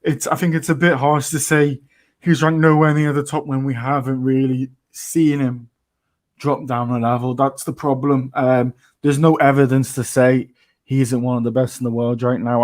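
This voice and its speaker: A man's voice: mid-range voice, darkish tone. A man co-hosting a post-fight podcast, chatting casually and hedging his views with repeated phrases. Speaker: podcast co-host.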